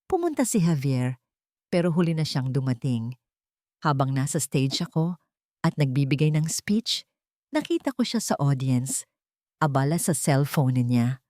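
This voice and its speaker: A woman voicing a proud, hurt wife in a romance story, earnest and measured. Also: a bass voice, sing-song, dark tone, no echo, clean studio sound, full character voice. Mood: bitter, hurt.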